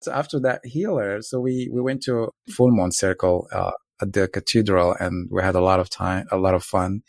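A man's voice: deep voice, fairly even intonation, moderate pace, gravelly, no echo, clean studio sound. A man from Morocco casually telling a couple's story, rambling and laid-back with lots of filler words.

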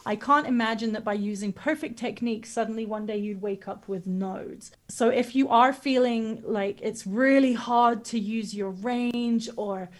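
A woman's voice: fairly high pitch, unhurried, hardly any pauses. A woman vocal coach answering interview questions casually, explaining with everyday comparisons and demonstrating sounds.

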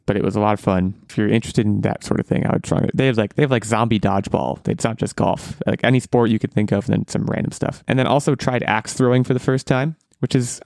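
A man hosting a podcast in casual chat, rambling and self-deprecating, his voice going hoarse. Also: mid-range voice, darkish tone, dry recording, studio quality. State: losing his voice. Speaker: podcast host.

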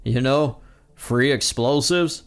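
Guy doing a dumb teenage cartoon slacker boy, slow and dopey, bit creaky. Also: medium pitch, soft background music. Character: dim, crude, laid-back teenage boy cartoon slacker.

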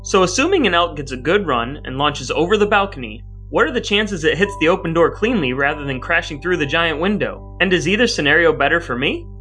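A man's voice: a little high, lively intonation, a little fast, few pauses, sharp tone, faint music behind. A man hosting a podcast with his brother, speaking in wordy, mock-grandiose phrases that move between scripted lines and banter. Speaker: podcast host.

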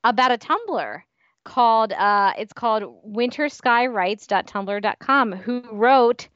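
High-voiced woman co-hosting a muffled podcast. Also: animated, slow pace, a bit bright, no room sound. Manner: giggly, amused. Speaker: podcast co-host. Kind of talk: casual fan podcast chat.

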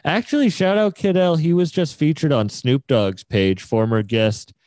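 Mellow-voiced man hosting a casual chat. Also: a little high, normal speed, no echo. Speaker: podcast host interviewing a musician. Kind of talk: casual conversational interview.

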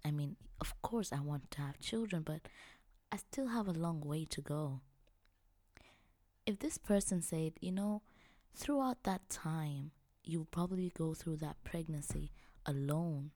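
A woman's voice: deep voice, warm tone, dry recording. A young woman, hosting a podcast and telling her own story in a reflective, personal way. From Tanzania. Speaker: podcast host.

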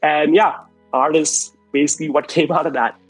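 A man's voice: a little high, steady tone, moderate pace, breathy edge, no echo, rough recording. Young male guest from Malaysia, casual rambling storyteller, dead-dry mic, faint music under. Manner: loose and rambling, many likes and restarts. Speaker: podcast guest, artist.

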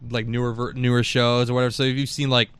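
A man's voice: medium pitch, little pitch movement, fast talker, fairly bright tone, smooth. A man co-hosting a podcast in casual chat, laughing often and swearing freely. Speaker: podcast co-host.

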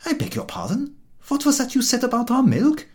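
A man's voice: very high voice, huge pitch swings, a little fast, a little mellow, voice pushed up, exaggerated voice. A man voicing a self-important, quarrelsome dog in a storybook, indignant and pompously polite. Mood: indignant, challenging. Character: huffy, self-important male milk-cart dog, quarrelsome.

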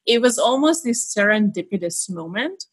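A woman in her thirties, from Hungary and a native Hungarian speaker, recounts her life in easy conversation. Her voice is husky and a little breathy, and she often restarts her sentences as she talks. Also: mid-range voice, animated, normal speed. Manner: storytelling, laughs easily, frequent restarts. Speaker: podcast guest. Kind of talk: casual interview chat.

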